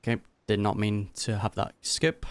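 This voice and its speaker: Male gaming reactor: low, clean smooth voice, informal and thoughtful, thinking aloud in a dry close recording. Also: moderate pace, no echo. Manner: musing, informal. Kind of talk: casual running commentary on a game.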